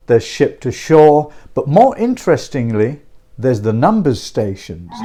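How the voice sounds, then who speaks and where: Male video host, medium pitch, informal and chatty explainer delivery with repeated short phrases and rhetorical questions. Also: mellow. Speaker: YouTube host and presenter. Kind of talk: informal explainer to camera about a radio hobby.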